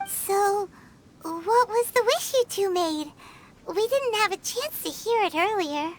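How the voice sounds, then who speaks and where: Shrill tiny game companion. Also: female voice, lively intonation, takes it slowly, a little hiss or hum, heavily acted. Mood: curious. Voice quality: shrill, bratty. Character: tiny floating female companion, blunt, easily spooked. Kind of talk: game cutscene voice acting. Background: game music.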